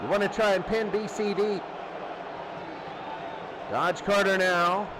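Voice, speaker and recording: Male sports announcer, high register. Terse live football calls over clear noise. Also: fairly even intonation, slow. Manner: terse calls, repeating down and yardage. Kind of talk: live American football commentary. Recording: clearly noisy recording.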